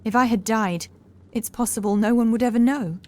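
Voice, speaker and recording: A woman playing an anxious, dreamy housemaid in a horror audiobook, confiding softly and hesitantly. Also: on the high side, brisk, close to an everyday voice. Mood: haunted, fearful. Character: fragile young housemaid haunted by cruel masters, dreamy and anxious.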